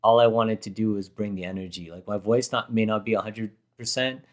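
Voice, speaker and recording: A hoarse man chatting casually, rambling and correcting himself as he recalls a performance. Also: lowish voice, steady tone, a little fast. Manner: rambling, self-correcting storyteller. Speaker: rapper reacting to his own performance. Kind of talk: casual chat.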